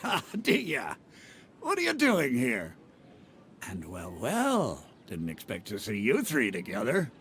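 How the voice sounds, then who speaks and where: A gravelly mercenary chief. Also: male voice, medium pitch, wildly swooping pitch, fairly bright tone, slight background noise, exaggerated voice. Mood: surprised. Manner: measured, wry, explanatory. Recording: game music clearly behind.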